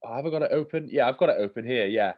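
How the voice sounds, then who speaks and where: A man's voice: medium pitch, racing, narrow, tinny audio. A man co-hosting a casual chat, bunged up and sniffly with a cold. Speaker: co-host.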